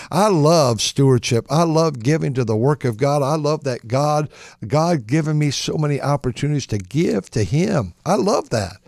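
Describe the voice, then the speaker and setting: A man with a raspy voice preaching an impassioned sermon, stammering and restarting, then surging into shouted praise. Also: mid-range voice, no echo, studio quality. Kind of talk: fervent radio sermon.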